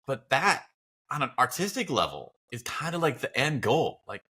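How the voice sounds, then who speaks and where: A man's voice: mid-range voice, sing-song, normal speed, bright, forceful tone, husky. A man co-hosting a casual podcast, speaking in a supportive, encouraging manner. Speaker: podcast co-host.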